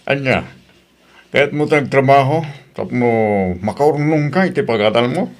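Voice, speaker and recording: A man's voice: medium pitch, measured pace, lightly acted. A man with an Ilocano accent playing an inquisitive, easygoing older landlord in an audio drama. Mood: persuasive.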